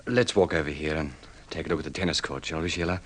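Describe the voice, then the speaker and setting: Deep-voiced earnest radio-drama suitor. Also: male voice, fast talker. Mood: courteous. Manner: courteous, earnest, persuasive. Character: earnest, smooth-talking suitor, self-made man with gentlemanly polish. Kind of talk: old-time radio drama acting. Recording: vintage radio drama recording.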